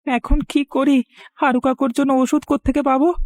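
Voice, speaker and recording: Woman acting an earnest high-voiced village boy. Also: little pitch movement, moderate pace, a little mellow, no room sound, clean studio sound, natural voice. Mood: earnest, worried. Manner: earnest, pleading. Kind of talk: Bengali cartoon voice acting.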